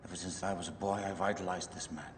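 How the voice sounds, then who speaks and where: A man's voice: deep voice, fairly even intonation, fast talker, natural voice. An actor playing a proud, ambitious Roman general in his fifties, commanding and grandiose, in a game cutscene. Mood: wistful awe. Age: middle-aged. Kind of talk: dramatic video game cutscene acting. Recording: echoey reverberant hall, faint background noise.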